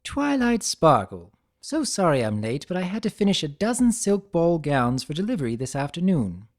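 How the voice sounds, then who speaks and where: A narrator puts on a refined lady unicorn from a cartoon fan story. The voice is lifted high, proper and formal. This proud dressmaker is easily flustered and very ladylike. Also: sing-song, normal speed. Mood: breezy.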